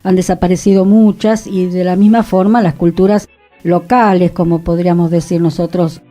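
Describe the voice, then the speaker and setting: A woman, the director and co-host of a radio show, talking in a thoughtful, unhurried way. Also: on the low side, fairly even intonation, mellow, soft background music. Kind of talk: relaxed radio conversation.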